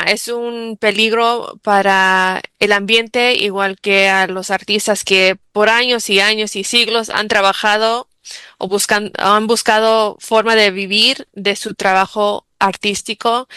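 Bright, crisp-voiced bilingual woman guest. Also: mid-range voice, fairly even intonation, unhurried, no echo. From United States. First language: English. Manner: reflective, many fillers and restarts. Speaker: interview guest, bilingual mother. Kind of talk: interview answers.

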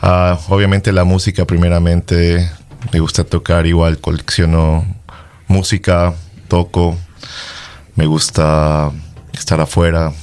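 A man from Ecuador, a drummer and guest, talking loosely and relaxed. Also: sits low, slow pace, clean tone. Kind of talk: casual conversation.

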